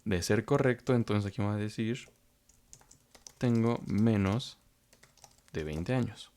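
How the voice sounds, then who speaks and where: Male programming instructor, warm low voice, patient step-by-step manner. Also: a little slow. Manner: explains step by step, repeats phrases, frequent fillers. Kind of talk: screen-recorded coding tutorial.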